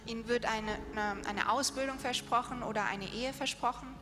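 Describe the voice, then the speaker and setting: A woman's voice: a little high, steady tone, moderate pace. A woman interpreting live from a stage, passing on each phrase in turn. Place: large event hall. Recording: hall reverb, music behind, live PA. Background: music.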